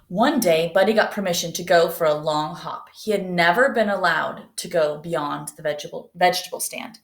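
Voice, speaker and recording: A woman's voice: lowish voice, moderate pace, gravelly, roomy sound. A woman teacher reading a picture book aloud expressively and putting questions to young listeners.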